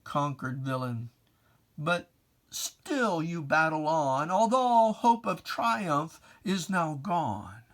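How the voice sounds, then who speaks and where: Slow, theatrical reader of solemn verse. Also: medium pitch, expressive. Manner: theatrical, solemn, emphatic declamation with deliberate pauses; archaic preacherly diction, addressing a foe directly. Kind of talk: dramatic poetry reading, scripted and declaimed.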